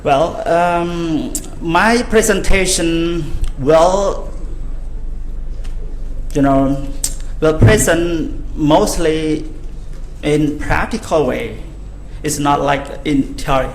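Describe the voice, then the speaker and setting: A man's voice: a little high, drawn-out words. A man from Cambodia with a Cambodian accent, whose first language is Khmer, giving a conference talk in an informal, joking way with many fillers and restarts. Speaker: conference speaker. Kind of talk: conference talk to a live audience. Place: large conference hall. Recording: echoey hall, faint background noise.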